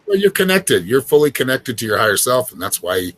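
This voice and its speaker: A man's voice: mid-range voice, very animated, brisk. A man in his forties who grew up in a small town, hosting an earnest, casual conversation about spirituality and now and then swearing to make a point. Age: 40s. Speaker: spiritual host.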